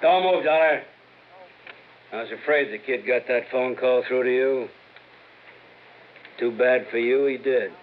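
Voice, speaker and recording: A man's voice: mid-range voice, unhurried, pauses often, close to an everyday voice. An actor playing a menacing, coldly threatening gunman and kidnapper in a 1950s radio crime drama. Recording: tinny, hissy 1950s radio recording.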